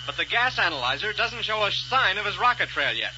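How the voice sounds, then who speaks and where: An actor playing a decisive heroic rocket captain in a 1930s radio serial, brisk, businesslike and urgent. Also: male voice, sits high, sing-song, sharp tone, heavily acted. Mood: businesslike, concerned. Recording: dull, hissy 1930s radio recording.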